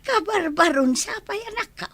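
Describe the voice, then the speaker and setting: Female, very high sharp voice: exasperated Ilocano village woman for radio comedy drama. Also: animated, normal speed, no echo, heavily acted. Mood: plaintive.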